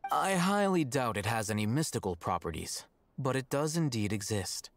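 A man voicing a calm, aloof, calculating young scholar in a video game, speaking in a measured, dry, formal way. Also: medium pitch, slight background noise. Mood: cool, composed. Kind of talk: scripted video game dialogue.

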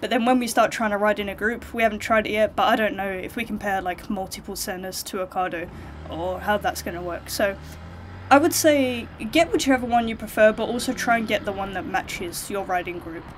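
A British woman talks to viewers in an informal, frank way, like a vlogger explaining options. Her voice sits at a medium pitch. There is noticeable ambient noise, like a vehicle, behind her. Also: a little fast. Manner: informal, frank, hedged opinions. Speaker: motorcycle vlogger giving buying advice.

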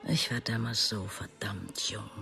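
An actress playing a bitter, wounded middle-aged mother who speaks of her estranged son in an intense radio drama monologue, moving between hushed and emphatic delivery. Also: very deep voice, fairly even intonation, a little slow, gravelly, soft background music, full character voice. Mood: bitter, hurt.

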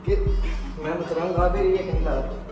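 A man's voice: fairly high pitch, brisk, music in the background. Young man echoing in a gym hall. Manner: talks while posing and checking muscles. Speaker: fitness vlogger. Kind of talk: casual on-camera progress check. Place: large gym hall with bare walls. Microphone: far camera. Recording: echoey gym, voice far from mic.

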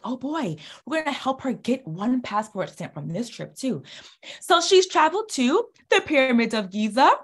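Woman, thirties, high voice and leisurely pace, children's author reading a picture book aloud, coaxing young listeners with questions and praise. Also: a bit bright. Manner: encouraging, exclamatory, asks questions and answers them. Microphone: computer mic on video call.

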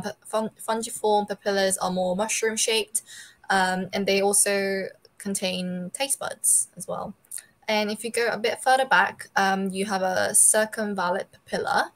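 A young woman giving an informal slide presentation over a video call, explaining in a hesitant, tentative way. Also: mid-range voice. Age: young adult. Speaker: student co-presenter of an online dental anatomy session. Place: bedroom, indoors.

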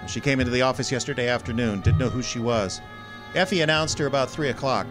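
Guy playing a hard-boiled private eye in a radio play, mid pitch, cool and sardonic. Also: quick pace, music in the background, close to an everyday voice. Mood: cool, businesslike. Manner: wry, sardonic. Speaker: actor. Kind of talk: hard-boiled detective radio drama acting.

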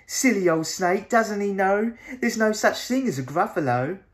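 A man in his thirties narrating a children's storybook in an expressive, measured way. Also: high-pitched. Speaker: storybook reader. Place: small plain room indoors.

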